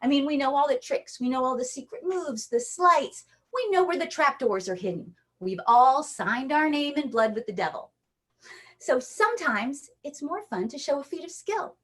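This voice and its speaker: A playful woman magician from Hawaii with a bright, high voice delivers jokey stage patter straight to the camera. She performs for an online audience, speaking into the camera mic. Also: lively intonation, moderate pace. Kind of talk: online magic show with rhyming story patter.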